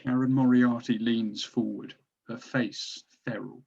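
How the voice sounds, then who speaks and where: A man's voice: mid-range voice, steady tone, unhurried, gravelly, darkish tone, husky. A man narrating stage directions from a drama script, recorded on dull, lo-fi audio.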